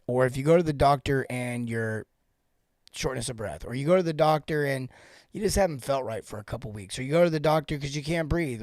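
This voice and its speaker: A man in his forties hosting a casual live-stream coaching talk, joking and rambling off into asides with informal, animated banter. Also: medium pitch, no room sound, studio quality. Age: 40s. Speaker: host and sales coach for plumbers.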